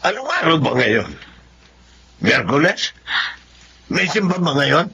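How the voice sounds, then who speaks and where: An actor playing a grumpy, suspicious older father with a gravelly voice in a Filipino radio drama. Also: a little high, big pitch swings, takes it slowly, pushed and bright, full character voice. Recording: clearly noisy recording.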